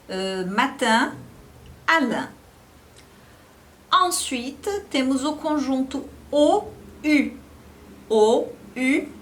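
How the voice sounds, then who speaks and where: A woman from Brazil teaching French pronunciation, repeating example words slowly and clearly. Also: high voice, fairly bright tone. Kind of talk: slow, careful pronunciation lesson.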